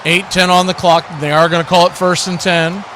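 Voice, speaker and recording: A man hosts a live football broadcast, calling the action in short, clipped phrases. His voice sits a bit high and is bright and forceful, with faint noise behind it. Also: little pitch movement, brisk. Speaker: play-by-play host of a football watch party. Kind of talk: live sports play-by-play commentary.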